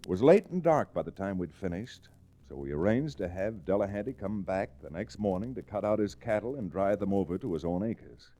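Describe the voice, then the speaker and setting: An actor playing a wry, confident young lawyer in an old-time radio Western, brisk and emphatic. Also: male voice, deep voice, animated, warm tone. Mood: narrating. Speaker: lead actor and first-person narrator.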